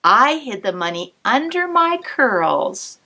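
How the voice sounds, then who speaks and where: Woman cantor, storytime read-aloud; unhurried, bright and sing-song, soft whispered asides. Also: fairly high pitch, sing-song. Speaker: cantor reading a picture book to children.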